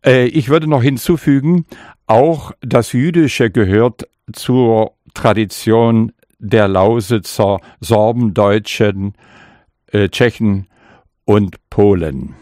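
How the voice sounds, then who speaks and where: An older man with a slightly low voice, speaking very slowly and thoughtfully, pausing to search for words. His first language is Sorbian, and the dry, close sound leaves nothing of the room behind him. He sounds like a poet talking about his life and work. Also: warm tone, dry recording. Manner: slow, measured, reflective with hesitations. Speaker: poet, interview guest. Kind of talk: interview answers and author reading.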